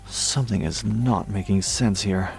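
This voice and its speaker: A man's voice: on the low side, unhurried, gravelly, darkish tone, faint music behind. A man playing a terse, brooding police detective in a horror audio drama. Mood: brooding, puzzled. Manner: terse, clipped, brooding sentences. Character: puzzled, brisk male police detective in charge.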